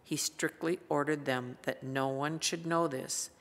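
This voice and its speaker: An American woman in her seventies reading scripture formally and in a measured way at a church pulpit. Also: sits low, steady tone. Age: 70s. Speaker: lay scripture reader. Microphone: gooseneck lectern microphone. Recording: reverberant church hall.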